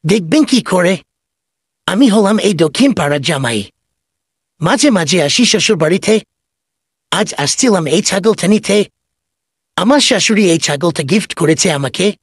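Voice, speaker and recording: A man acts out a sly cartoon goat thief. His voice is husky and swings up and down as he pours out glib salesman patter. The sound is dry and close. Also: high-pitched, lively intonation, a little slow, pauses often, no room sound, heavily acted. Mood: boastful, glib. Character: sly, fast-talking male goat thief and swindler. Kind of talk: comedy cartoon voice acting.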